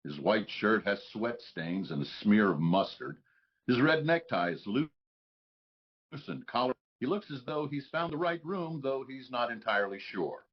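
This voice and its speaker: A man with a husky voice reading stage directions as scripted narration at a live table read. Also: mid-range voice, lively intonation, normal speed, highs cut off.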